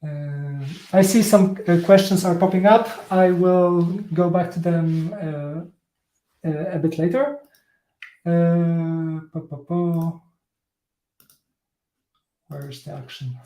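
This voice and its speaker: A man with a Polish accent, a native Polish speaker, gives a live coding talk that is halting and full of fillers and restarts. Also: on the high side, steady tone, mellow, echoey room, poor audio. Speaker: tech presenter.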